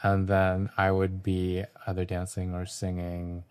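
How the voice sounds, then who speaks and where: Low-voiced young guy, chill and thoughtful, lots of ums, guest on a podcast. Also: unhurried, clear, resonant tone. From Canada. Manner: relaxed, reflective, with frequent ums. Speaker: guest artist. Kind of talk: casual interview talk.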